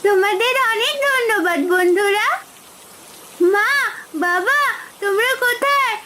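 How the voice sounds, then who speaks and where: A woman voicing a sweet, clever young village girl in a cartoon fairy tale, in an expressive childlike manner. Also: extremely high pitch, measured pace, full character voice. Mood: excited, grateful. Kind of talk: animated Bengali fairy-tale cartoon dialogue.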